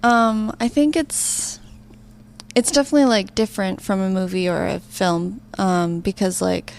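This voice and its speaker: A woman's voice: medium pitch, steady tone, measured pace, gravelly, slight background noise. Young female student interviewee, mid-range voice, informal conversational answers. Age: young adult. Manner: informal, frequent "like" and "kind of". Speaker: student interviewee, live sound operator.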